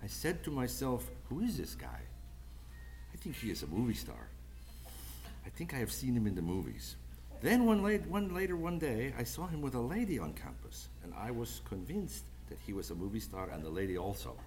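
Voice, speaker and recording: A man's voice: medium pitch, very animated, normal speed, darkish tone. A man voicing a playful Dominican man recalling a professor, in an exaggerated, put-on Dominican Spanish accent. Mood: amused, nostalgic.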